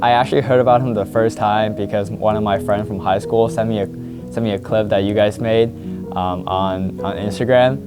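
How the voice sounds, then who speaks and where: A man's voice: lowish voice, fairly even intonation, brisk, music in the background. A young man speaking hesitantly, repeating words, in a documentary interview.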